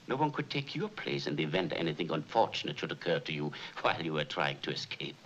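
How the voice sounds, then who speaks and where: An actor playing a suave, menacing Nazi officer in a 1940s film, formal and mock-courteous. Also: male voice, extremely low pitch, big pitch swings, fast, a bit bright, heavily acted. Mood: polite menace. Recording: hissy dull 1940s film soundtrack.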